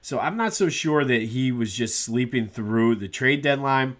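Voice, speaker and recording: A man's voice: medium pitch, lively intonation, a little slow, a bit bright. A man hosting a solo sports podcast, a bit congested and nasal from a cold, talking casually with lots of "uh" fillers. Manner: conversational, with frequent "uh" fillers.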